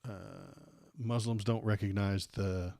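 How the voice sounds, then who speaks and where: An American man hosting a casual political livestream, rambling and halting with repeated words, irreverent and sarcastic as he chats with viewers. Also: lowish voice, steady tone, gravelly, a little mellow, no room sound, clean studio sound. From United States. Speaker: livestream host. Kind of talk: casual political commentary, chatting with live viewers.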